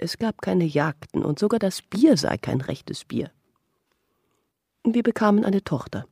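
A frail, dreamy mother looking back. Her husky voice moves widely in pitch, close and dry over faint music, like intimate, brooding storytelling in a radio play. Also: dark tone, no echo, full character voice. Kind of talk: German radio play monologue.

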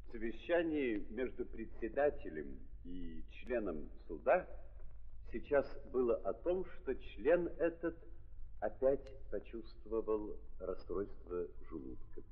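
A drily ironic storyteller with a husky, lowish voice and lively rises and falls. He narrates in old theatrical style on a muffled, echoing recording. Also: slow pace, heavily acted. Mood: dry irony.

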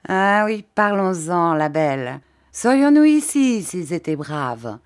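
A woman's voice: on the low side, sing-song, takes it slowly. A woman playing a sneering young Prussian officer. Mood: mocking. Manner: sneering, laughing, boastful. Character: young arrogant mocking male Prussian officer.

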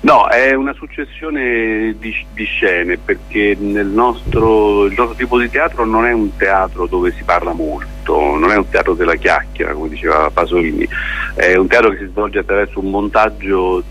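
A man answering as a theatre director in a radio interview, explaining in a halting, deliberate way with pauses and repeated words. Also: lowish voice, steady tone, a bit bright. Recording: muffled, lo-fi radio interview with noise.

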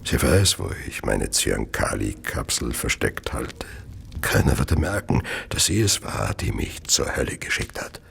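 An actor playing an ailing, remorseful murderer in a radio drama, confessing wearily in a gravelly, whispery voice. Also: male voice, a bass voice, sing-song, fairly bright tone, full character voice. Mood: despairing. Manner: slow, weary, tormented confession.

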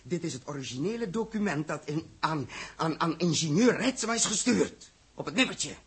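Een acteur die in een hoorspel uit de jaren zestig een felle, verontwaardigde ruimtekapitein speelt, gepassioneerd en beschuldigend. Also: male voice, a little high, expressive, normal speed, husky, heavily acted. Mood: urgent, demanding. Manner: impassioned, accusatory, rhetorical. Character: fiery, indignant male space captain confronting the government. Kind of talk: 1960s Dutch radio drama acting.

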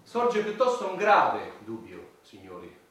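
An actor playing a pompous, officious head of state in a satirical radio play, speaking emphatically and deliberately. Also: male voice, mid-range voice, wildly swooping pitch, a bit bright. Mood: bureaucratic, unruffled. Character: pompous male head of state chairing war council. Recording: very echoey room, far from mic, faint noise.

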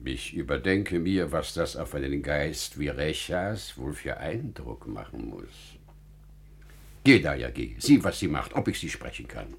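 A man's voice: rumbling low, big pitch swings, a little slow, vocal fry, breathy edge, exaggerated voice. An actor playing a generous, impassioned merchant father in a classical German radio drama, theatrical in his delivery. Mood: reflective. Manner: theatrical, swinging from murmurs to outcries.

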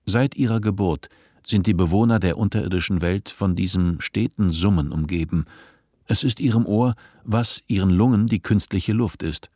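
A man narrating a scripted radio play in a measured, literary manner. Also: low voice, warm tone, no room sound, studio quality.

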